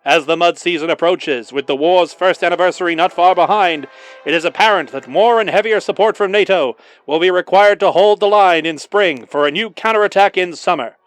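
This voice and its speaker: A man's voice: sits high, brisk, smooth, natural voice. A man playing a rousing, patriotic 1940s wartime newsreel announcer, narrating in a dramatic, declamatory style over music. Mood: solemn, resolute.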